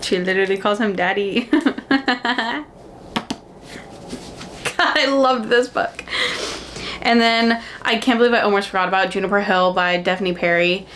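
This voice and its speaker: A woman's voice: mid-range voice, measured pace, pauses often. Young female book vlogger, casual and effusive, emphatic delivery, mid-range voice, noticeable noise behind her. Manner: animated, gushing, dramatic emphasis. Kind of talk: casual chatty book recommendation vlog.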